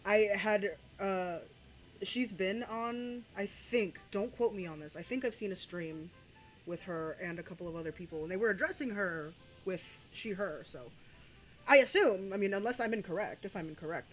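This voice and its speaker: A woman's voice: medium pitch, rough recording. A young adult woman streaming, rambling through crude, profane reaction commentary with laughing asides.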